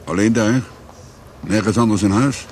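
An actor playing a stern, persistent police commissioner in a radio drama, with a measured, probing, formal manner. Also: male voice, on the low side, darkish tone, lightly acted. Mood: calmly insistent. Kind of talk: Dutch radio drama acting. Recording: faint background noise.

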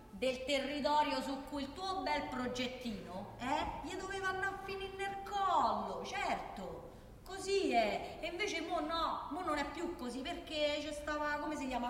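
An actress playing a feisty working-class woman with a Roman accent, arguing colloquially and emphatically in a theatrical radio monologue. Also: high-pitched. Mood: explaining, sarcastic. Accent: Roman Italian. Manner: colloquial, argumentative, emphatic, with rhetorical questions. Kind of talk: theatrical radio monologue, one side of a conversation. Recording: echoey reverberant hall, faint background noise.

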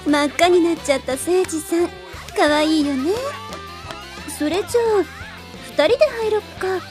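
A woman's voice: very high voice, normal speed, music in the background. A woman playing a cheerful, teasing, easygoing girl in an anime audio drama.

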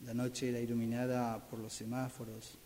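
A man's voice: mid-range voice, monotone, moderate pace. A man reading a scripted story as a radio drama narration exercise. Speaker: radio drama workshop participant. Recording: loud background noise.

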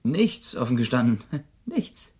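A rough drifter accused of murder in a radio crime play. His voice is husky and a little low, and he answers in blunt, puzzled slang, sounding uneasy and out of his depth. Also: very animated, slow pace, a little mellow, full character voice. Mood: wary. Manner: blunt, colloquial, puzzled short replies. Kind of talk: German radio crime drama acting.

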